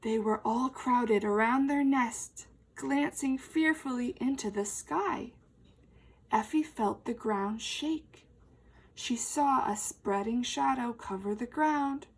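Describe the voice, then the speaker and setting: A woman's voice: on the high side, slightly rough, poor audio. A woman teacher reading a storybook expressively to young children, speaking to them directly and putting questions to them. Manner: animated, emphatic, addressing young listeners directly.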